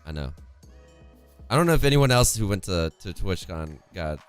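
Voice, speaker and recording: Young guy casting esports from his room, voice shot and hoarse, low pitched, soft music under it. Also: very animated, moderate pace. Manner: chatty, reads chat aloud, laughs often. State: hoarse, voice worn out. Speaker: esports caster. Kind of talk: solo esports stream casting, chatting with chat. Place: home streaming room, indoors. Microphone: studio condenser mic close in front, headphones.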